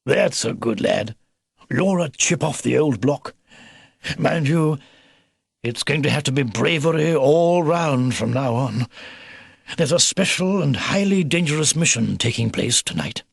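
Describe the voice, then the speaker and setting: A kindly old grandfather beaver, acted out by a man for a storybook. He blusters like an old-fashioned military officer, in a gravelly, husky voice that swings up and down with excitement. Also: medium pitch, measured pace, no echo, clean studio sound, heavily acted. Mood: proud, hearty. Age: older.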